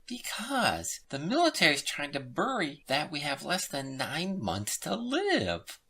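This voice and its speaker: A woman's voice: sits low, huge pitch swings, measured pace, sharp tone, slightly rough, voice pushed up, full character voice. A woman voicing a nervous male scientist in a story reading, giving an emphatic, alarmed warning. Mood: alarmed, raving. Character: nervous, urgent male scientist leaking a secret report.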